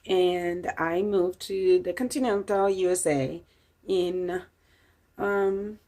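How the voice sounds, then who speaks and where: A woman's voice: on the low side, takes it slowly, dark tone. Chatty Puerto Rican woman in her fifties. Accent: Puerto Rican Spanish. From Puerto Rico. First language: Spanish. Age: 50s. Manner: chatty, laughs easily, many fillers. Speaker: channel host. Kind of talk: casual chat to camera.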